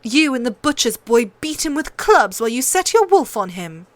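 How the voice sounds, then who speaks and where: Woman acting a haughty queen, raised pitch. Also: big pitch swings, normal speed, no room sound. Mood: haughty, accusing. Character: haughty, accusing queen and protective mother.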